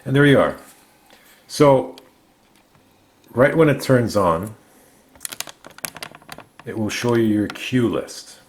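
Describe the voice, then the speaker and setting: A man narrating a product review, explaining things methodically in short, measured phrases. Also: mid-range voice, expressive. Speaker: gadget reviewer.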